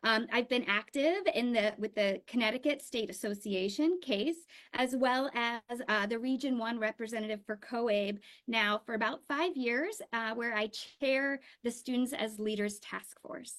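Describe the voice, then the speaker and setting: A woman program director speaks on a webinar call with a slightly high voice. She is earnest and enthusiastic, and her talk is loose, with "um" and repeated words here and there. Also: moderate pace, hardly any pauses, a bit bright. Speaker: adult education program director. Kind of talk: webinar presentation.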